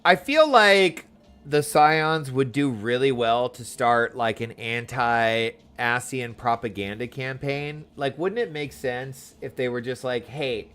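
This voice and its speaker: A man's voice: mid-range voice, slow pace, a bit bright, clear, resonant tone, faint music behind. A man giving casual, chatty live gameplay commentary as a streamer, reacting informally. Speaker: game streamer.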